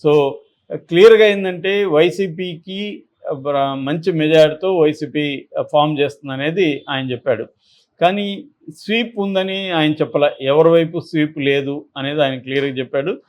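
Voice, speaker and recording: Man, slightly high pitch, political commentary, unscripted, explanatory, emphatic, repetitive. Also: normal speed. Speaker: political analyst on a news discussion channel.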